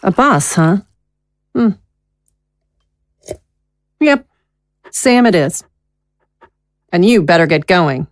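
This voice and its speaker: Audio drama wife, thirties: demanding, childishly impulsive, slow and emphatic, close dry sound. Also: female voice, fairly low pitch, sing-song, no room sound, heavily acted. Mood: bossy, scornful. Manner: demanding, emphatic, drawn-out delivery.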